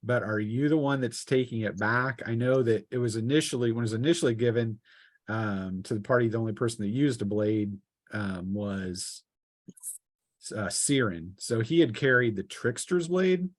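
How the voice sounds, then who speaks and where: A man with a mid-range voice works as game master at a tabletop session. He improvises the narration as he goes, in a halting, hesitant way, feeling for each phrase. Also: medium pitch, no echo, clean studio sound.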